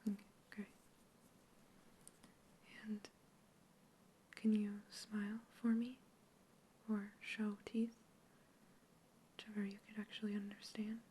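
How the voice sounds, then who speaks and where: A young woman whispering close to the mic in a sci-fi ASMR roleplay, calmly questioning and reassuring as a scientist observing an alien. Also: mid-range voice, slow pace, pauses often, slight background noise, poor audio. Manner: soft whisper throughout, calm and reassuring. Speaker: ASMR creator. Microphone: close ASMR microphone.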